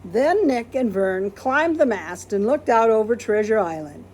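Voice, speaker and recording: A woman's voice: on the high side, lively intonation, measured pace, darkish tone, slight background noise. A woman reading a children's picture book aloud expressively, acting out quoted words and putting questions to listeners. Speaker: storybook reader.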